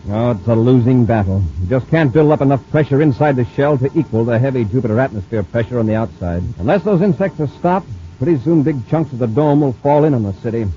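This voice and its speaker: A man's voice: mid-range voice, animated, quick pace, soft background music, natural voice. A man playing a decisive male space patrol commander in a 1950s radio drama, giving clipped orders. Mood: grave. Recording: dull old radio recording with faint hiss.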